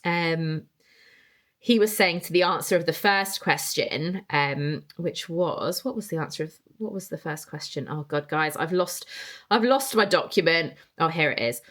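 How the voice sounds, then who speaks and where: Host: young woman, low-pitched, rambling and chatty. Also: measured pace, a bit bright. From England. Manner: chatty, rambling, reading listener answers aloud. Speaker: podcast host. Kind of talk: casual solo podcast chat.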